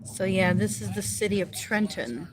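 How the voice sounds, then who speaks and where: A woman's voice: mid-range voice, lively intonation, normal speed. A woman with a New Jersey accent chatting casually to her viewers from a moving car, easygoing and grateful, rambling with lots of fillers. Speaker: vlogger. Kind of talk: casual livestream chat. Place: in a car on the road. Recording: loud road noise from a car. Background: vehicle noise.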